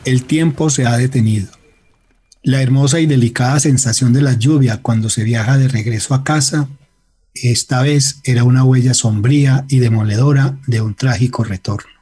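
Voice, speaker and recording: A man's voice: mid-range voice, normal speed, mellow, husky. A man delivering dramatic scripted narration as the narrator of a radio serial.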